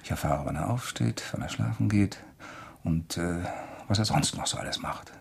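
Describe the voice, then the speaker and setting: A gravelly, insinuating writer-sleuth. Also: male voice, sits low, animated, exaggerated voice. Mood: insinuating, intense. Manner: deliberate, polite, provoking. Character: male writer, probing and coolly insinuating murder theorist. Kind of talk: German crime radio play acting.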